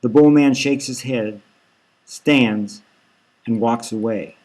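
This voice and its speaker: A man's voice: medium pitch, slow pace, a little mellow, low-quality recording. A man reading his own novel aloud as scripted audiobook narration.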